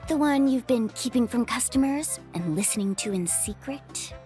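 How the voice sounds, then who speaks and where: A woman plays a young, friendly adventurer from a video game. The voice is high and very animated, with an upbeat, casual, coaxing manner. Light music runs underneath. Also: normal speed, natural voice. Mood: breezy, friendly. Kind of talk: English video game voice acting.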